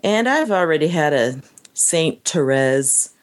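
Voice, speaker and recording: A woman's voice: deep voice, expressive, slow pace. A woman co-hosting a podcast, speaking earnestly and reflectively in casual chat, often laughing. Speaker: podcast co-host.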